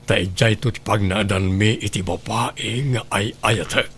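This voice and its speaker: A man playing an earnest lover and confidant in an Ilocano radio drama, with a husky voice. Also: lowish voice, unhurried, a bit bright, soft background music. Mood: earnest, persuasive.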